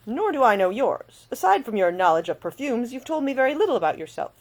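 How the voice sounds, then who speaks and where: A woman voicing a large, cheery, roguish idle gentleman in an audiobook, with a formal, lightly amused drawl. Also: a little high, big pitch swings, fast talker, pitched above the performer's own voice. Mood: teasing. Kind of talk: audiobook character dialogue.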